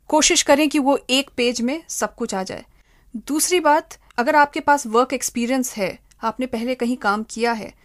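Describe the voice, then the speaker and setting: A woman giving methodical, step-by-step advice as an interviewed expert. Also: fairly high pitch. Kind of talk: interview answers, explanatory advice.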